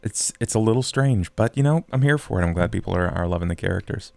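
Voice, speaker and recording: A man chatting casually as a podcast player, halting and repeating his words. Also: sits low, animated, gravelly, darkish tone, dry recording. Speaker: podcast cast member and player. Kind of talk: casual chat.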